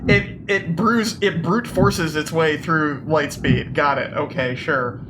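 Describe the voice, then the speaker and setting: A man's voice: fairly high pitch, takes it slowly, noisy background. A man playing a tabletop game, chatting casually in and out of character with a wry, joking manner. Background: background music.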